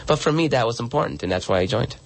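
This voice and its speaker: A man's voice: mid-range voice, lively intonation, fast talker. A man who grew up in El Salvador, answering interview questions earnestly as a guest author, often restarting and repeating words.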